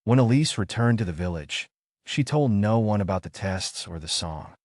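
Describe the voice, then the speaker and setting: A male storyteller reads a children's bedtime tale in a low voice. His narration is theatrical, with big swings in intonation, and the sound is dry and close. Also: expressive, normal speed, a little mellow, dry recording.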